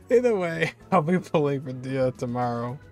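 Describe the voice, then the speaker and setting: A man's voice: mid-range voice, big pitch swings, unhurried. A man, giving informal, conversational reaction commentary. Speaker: reaction video host. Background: music.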